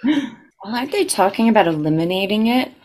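A woman's voice: mid-range voice, sing-song, a little fast, noisy background. A woman, an improv performer, in casual joking chat.

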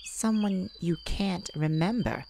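Slow, soothing mystic, played by a woman. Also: lowish voice, gravelly, mellow, a little hiss or hum. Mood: calm, probing. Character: calm, enigmatic mystic who peers into minds. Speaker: audio roleplay performer. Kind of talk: intimate scripted audio roleplay. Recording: nature ambience with birds and crickets.

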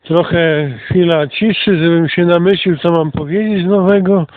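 A man, hosting a casual outdoor narrated walk for his channel and pausing often to think as he comments on what is around him. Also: sits high, fairly even intonation. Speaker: channel host. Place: outdoors, walking through countryside. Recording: muffled phone-like narrow-band sound.

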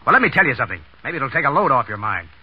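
Brusque, fast-talking, cocky wise guy. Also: medium pitch, big pitch swings, slightly rough. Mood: scornful. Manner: blunt, slangy, scolding. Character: brusque, cocky, bossy young wisecracking man. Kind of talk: 1930s radio acting. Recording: muffled 1930s radio broadcast.

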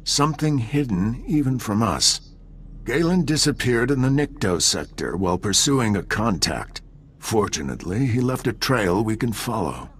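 Stubborn intelligence chief for a video game: lowish, terse, sober, businesslike. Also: male voice, big pitch swings, normal speed, fairly bright tone. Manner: clipped, businesslike.

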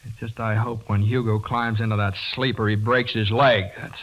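A man playing a hot-headed, awkward young working man in a halting, folksy way in a 1940s radio drama. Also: lowish voice, flat delivery, a little hiss or hum, lightly acted. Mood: hesitant, tender. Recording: muffled 1940s radio broadcast.

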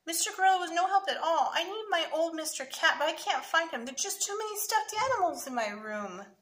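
Woman putting on a high, excitable little storybook boy. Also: brisk. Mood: whiny, sad. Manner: exclaiming and pleading, childlike. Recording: roomy echo, faint noise.

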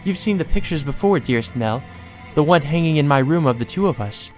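A man's voice: on the high side, expressive, faint music behind, pitched above the performer's own voice, natural voice. A man voicing a deranged, lovesick teenage sister from a visual novel game, with theatrical, mocking, coaxing delivery. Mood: sweetly taunting. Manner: taunting, theatrical, drawn-out coaxing.

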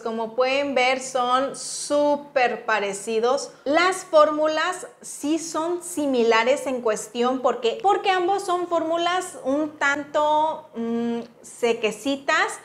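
A woman with a Mexican accent giving a casual, chatty makeup review as a beauty vlogger, informal and full of affectionate diminutives. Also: high-pitched. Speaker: beauty vlogger, channel host.

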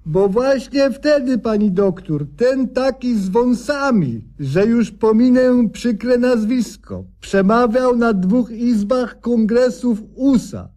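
A man's voice: very high-pitched, lively intonation, slow pace, hardly any pauses, mellow, heavily acted. An actor playing an aggrieved, envious male patient in a satirical radio sketch, with a folksy, grumbling way of talking. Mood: bitter, grumbling.

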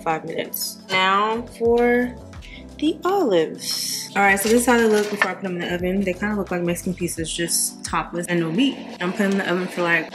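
A young woman vlogging casually to a handheld camera at home, chatty and teasing, bluntly scolding her daughter at times. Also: fairly low pitch. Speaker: mom vlogger. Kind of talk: casual vlog chat to camera. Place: home kitchen and backyard pool. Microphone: handheld camera at arm's length.

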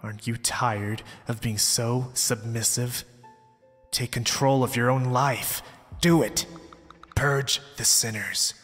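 A man's voice: medium pitch, fairly even intonation, takes it slowly, fairly bright tone. A man playing a mysterious young zealot, polite, pompous and persuasive, in an ASMR roleplay drama. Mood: urging. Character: mysterious, smooth-talking young male zealot cult recruiter. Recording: long reverb, background music. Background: music.

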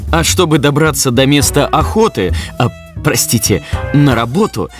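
A man as a wry cartoon science narrator with a mid-pitched, very animated voice. He lectures with gentle irony over clear background music. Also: normal speed, close to an everyday voice. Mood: wry, explanatory. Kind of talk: scripted educational cartoon narration.

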